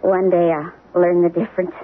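An actress in a 1970s radio drama playing a gentle, hesitant wife who worries about her husband. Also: on the low side, flat delivery, moderate pace, natural voice. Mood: caring, uneasy. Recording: muffled, band-limited 1970s radio broadcast.

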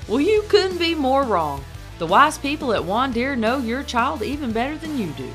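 A woman's voice: high voice, very animated, normal speed, soft background music. A woman reading a scripted parody advertisement in an upbeat, salesy voice. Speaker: ad voice-over.

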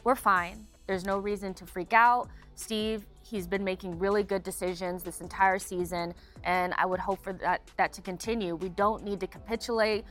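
A woman giving casual soccer commentary as a panelist, with measured, deliberate emphasis. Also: medium pitch, fairly even intonation, fairly bright tone, faint music behind. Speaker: sports show panelist.

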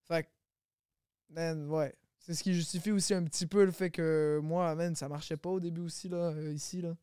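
Podcast guest: man, relaxed slangy chat, fairly high smooth voice, dry close sound. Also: normal speed, dry recording. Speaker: rapper guest.

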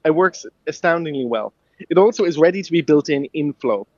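A man's voice: on the high side, animated, a little fast, a little mellow, no room sound. Eager young man on a dull line. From Ireland. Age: young adult. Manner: eager, friendly, laughs easily. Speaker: guest inventor. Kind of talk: radio interview.

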